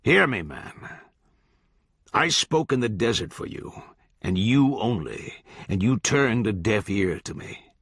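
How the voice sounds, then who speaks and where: A man voicing an eloquent, menacing judge in an audiobook, coaxing and grandiose, with deliberate, archaic phrasing. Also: on the low side, lively intonation, fairly bright tone, slightly rough, no room sound, studio quality, voice pushed up, exaggerated voice. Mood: grave preaching. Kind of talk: literary audiobook character voice.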